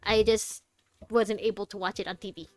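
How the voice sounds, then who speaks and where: A woman giving live playthrough commentary, chatting casually to viewers and reacting aloud in a jokey, expressive way. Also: medium pitch, normal speed, no room sound. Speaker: game streamer. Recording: music behind the voice. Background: game music.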